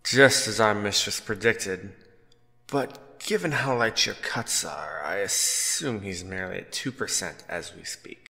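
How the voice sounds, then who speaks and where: A man playing a calm, formal servant who speaks in a measured way. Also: fairly low pitch, vocal fry, long reverb tail, full character voice.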